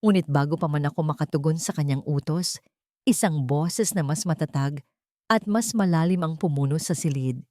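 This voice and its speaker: Low-voiced Filipino woman narrating drama. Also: moderate pace, dark tone, no echo, clean studio sound. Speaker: audiobook narrator. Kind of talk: dramatic first-person scripted story narration.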